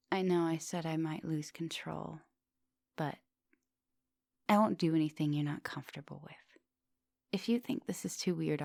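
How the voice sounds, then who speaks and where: A woman playing a seductive, gentle vampire professor in an intimate ASMR roleplay, murmuring and whispering coaxingly up close. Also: fairly low pitch, no echo. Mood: hesitant, asking. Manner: breathy murmurs and whispers, coaxing pet names. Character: seductive female vampire professor, hungry yet gentle and polite. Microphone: close microphone.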